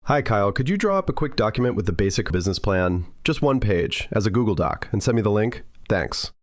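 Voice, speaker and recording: Conversational male ad voice, fairly low and animated, close dry recording. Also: a little fast, no room sound. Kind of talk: conversational advert read.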